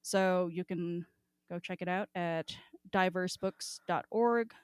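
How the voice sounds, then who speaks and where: Irreverent, clear-voiced woman podcast host. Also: lowish voice, slow, dry recording, studio quality. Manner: irreverent, sweary, informal. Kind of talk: casual chat.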